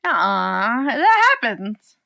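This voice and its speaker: Bright-voiced, tipsy woman podcast co-host. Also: on the high side, very animated, takes it slowly, gravelly, dry recording. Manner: profane, laughing, argumentative. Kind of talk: casual chat.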